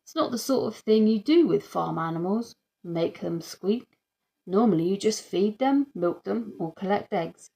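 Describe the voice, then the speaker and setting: A woman's voice: medium pitch, animated, moderate pace, gravelly, mellow. A woman voicing a dry, matter-of-fact, stubborn father in a children's audiobook. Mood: dry, patient. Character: dry, stubborn dad, protective of his farm animals.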